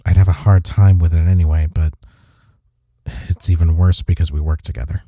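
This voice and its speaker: A man playing a teasing, tender office rival in an intimate romantic audio roleplay, speaking haltingly with breathy sighs and murmurs. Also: low voice, fairly even intonation, warm tone, no echo. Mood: tender, remorseful. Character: young male coworker rival confessing love, teasing and tender.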